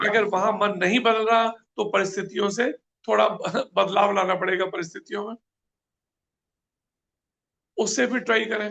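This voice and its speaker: Male preacher, raspy fry-heavy voice, pitched up, earnest and questioning. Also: a bit bright, lo-fi sound. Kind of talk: scripture class.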